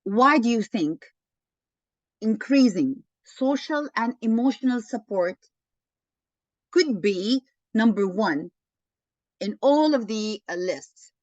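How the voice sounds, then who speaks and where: A woman leading an online teaching session, slow and encouraging, often thanking participants. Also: on the high side, animated, no room sound. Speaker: webinar lecturer. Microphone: video call. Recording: dull online call audio.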